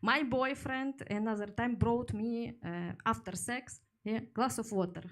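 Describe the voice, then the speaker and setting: A Ukrainian woman in her twenties doing a stand-up set in halting English with a Ukrainian accent, often laughing at her own jokes and slipping into her own language. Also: on the high side. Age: 20s. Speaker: stand-up comedian. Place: comedy club stage with a live audience.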